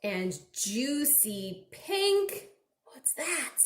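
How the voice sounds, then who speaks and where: A woman's voice: sits high, big pitch swings, extremely slow. A woman children's librarian reading aloud expressively to small children, prompting them with simple questions. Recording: roomy, echoing indoor recording.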